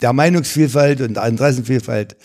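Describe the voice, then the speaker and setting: A man's voice: mid-range voice, quick pace, a little mellow. An older man, a hunting shop owner, answering interview questions in a matter-of-fact, self-assured way.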